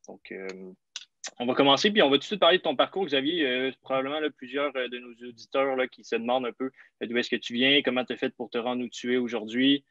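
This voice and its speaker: A man's voice: mid-range voice, fast, a bit bright, no echo. A man with a Québécois accent hosting a sports podcast, welcoming listeners and a guest with frequent fillers. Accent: Québécois French. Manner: friendly, lots of fillers. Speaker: podcast host. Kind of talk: casual podcast interview. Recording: slightly dull lo-fi sound.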